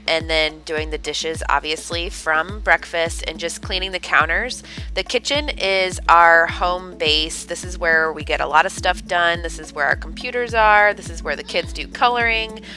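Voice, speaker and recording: A woman's voice: lowish voice, bright, forceful tone. A woman in her thirties with a stuffed-up head cold, chatting casually as she hosts a cleaning vlog. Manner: chatty, upbeat, with fillers.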